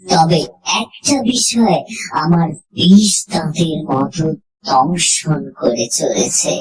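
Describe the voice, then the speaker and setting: A woman narrating a scripted horror story in the first person, suspenseful and dramatic, with deliberate pauses. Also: sits low, expressive, low-quality recording. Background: music.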